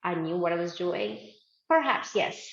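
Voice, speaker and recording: A woman's voice: lowish voice, animated, a little slow, muffled recording. Chatty woman from India, roomy sound. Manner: chatty, many "like" fillers, upbeat. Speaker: guest, fashion manufacturing founder. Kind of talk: casual interview chat.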